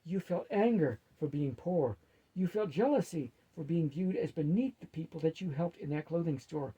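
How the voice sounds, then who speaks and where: A man's voice: a little high, lively intonation, mellow, husky, highs cut off. A man voicing a wise, loving old grandmother who speaks firmly and emphatically. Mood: stern. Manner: emphatic, firm, drawn-out delivery.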